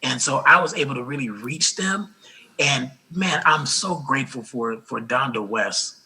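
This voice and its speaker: Raspy-voiced man, bright and earnest. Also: medium pitch, animated. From Los Angeles. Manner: earnest, grateful, halting with restarts. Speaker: interview guest. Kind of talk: casual interview talk.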